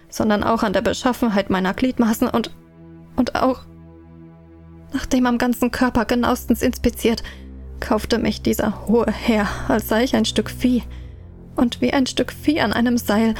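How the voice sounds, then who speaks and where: A woman narrating an audio drama in first person, solemn and measured. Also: a little high, gravelly, darkish tone.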